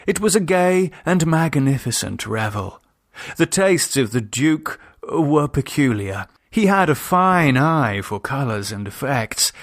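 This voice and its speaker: A man narrating a classic gothic short story in a measured, dramatic, deliberate manner. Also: medium pitch, a bit bright, no room sound, studio quality. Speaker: audiobook narrator.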